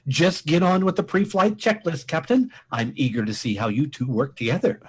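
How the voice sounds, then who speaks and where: A man playing a pompous, commanding space admiral in a comic radio play, speechifying emphatically in a husky voice. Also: fairly high pitch, moderate pace. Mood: approving, commanding. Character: blustering, commanding space admiral, male senior officer.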